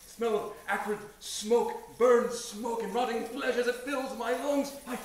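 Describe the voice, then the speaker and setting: Man playing a high-pitched panicked prison warden. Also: steady tone, unhurried. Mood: horrified. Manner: stammering, melodramatic, overwrought. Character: panicked prison warden, seized by an unseen controlling force. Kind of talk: old-time radio horror acting. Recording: echoey stage, slightly distant, music underneath.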